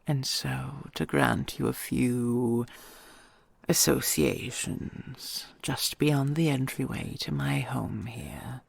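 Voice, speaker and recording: An ancient dragon queen played by a woman murmurs in a slow, heavily creaking voice, very breathy and husky. She is imperious yet doting, with a possessive tenderness in a regal, formal manner. It is an intimate audio roleplay performance, close and dry. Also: a bass voice, animated, no echo, heavily acted. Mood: indulgent, regal. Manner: slow, regal, formal endearments with soft sighs.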